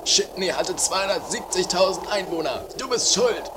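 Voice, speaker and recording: A man's voice: high-pitched, lively intonation, moderate pace. A man playing a greedy, scheming, squabbling adventurer in an improvised comedy radio play, theatrical, accusing and pompous. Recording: very noisy amateur 1980s recording.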